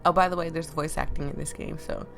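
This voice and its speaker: A woman with a deep voice that sounds sick, chatting casually and reading game text aloud as a let's play host, easily amused and stumbling over words. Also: steady tone, quick pace, vocal fry, over music. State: sick, voice affected.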